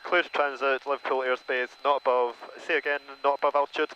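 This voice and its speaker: Male light-aircraft pilot, mid-pitched, clipped radio procedure, muffled headset sound with some engine drone. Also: little pitch movement, fast talker, sharp tone. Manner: formulaic, reading back instructions. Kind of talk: clipped aviation radio calls. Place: small propeller aircraft cockpit. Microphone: aviation headset. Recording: dull, noisy cockpit intercom.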